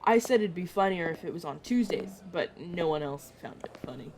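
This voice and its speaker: A woman's voice: lowish voice, animated, normal speed, darkish tone, slight background noise, natural voice. A woman playing a chatty, playful young male vampire mentor in an audio roleplay, talking casually to the listener. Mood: explaining, upbeat. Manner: teasing, casual, dramatic about food. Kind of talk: audio roleplay acting, addressing the listener directly.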